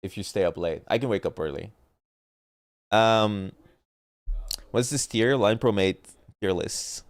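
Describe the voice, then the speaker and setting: A man's voice: fairly low pitch, measured pace, long pauses, no echo. A man streaming casually, rambling and arguing about competitive teams and often losing his thread, with a stuffy nose. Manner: rambling, argumentative, trails off mid-point. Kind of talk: casual gaming chat.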